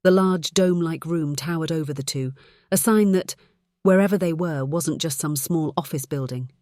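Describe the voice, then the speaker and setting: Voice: medium pitch, normal speed, a little mellow, dry recording, clean studio sound. An audiobook narrator reading a scripted story.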